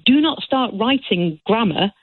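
A woman's voice: on the high side, animated, measured pace, slightly rough, dry recording. A woman caller speaking in an emphatic, passionate way. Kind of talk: phone-in call. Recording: tinny phone line.

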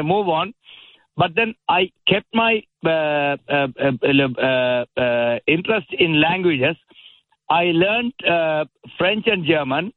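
A man in his seventies calling in with a Sri Lankan accent, his first language Tamil. He speaks in a slightly high voice and hesitates often, with many fillers. The sound is tinny and dry, like a phone line. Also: no echo. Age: 70s. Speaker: caller. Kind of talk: phone-in call.

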